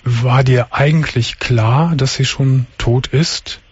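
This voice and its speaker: A man hosting a late-night radio call-in show, asking calm, patient and gently probing questions. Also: mid-range voice, takes it slowly, husky, no room sound. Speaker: radio talk show host. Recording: dull lo-fi radio audio.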